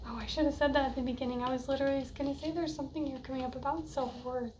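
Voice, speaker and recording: A young woman with a soft voice giving a casual oracle card reading, musing and drifting off mid-thought. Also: high voice, steady tone, racing, background noise. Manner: dreamy, musing, often trails off. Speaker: card reader.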